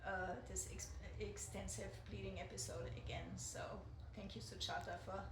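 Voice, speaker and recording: A woman's voice: medium pitch, little pitch movement, normal speed. A middle-aged woman from Austria, giving a personal testimonial as a mother, hesitant with many fillers and restarts. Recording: echoey room with background noise.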